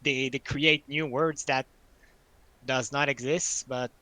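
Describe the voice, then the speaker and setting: A man from Quebec, a native French speaker with a Quebec French accent, chatting casually and haltingly, repeating words as he searches for phrasing. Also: medium pitch, fairly even intonation, pushed and bright, no echo. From French-speaking Quebec, Canada. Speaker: study group participant. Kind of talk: casual group chat.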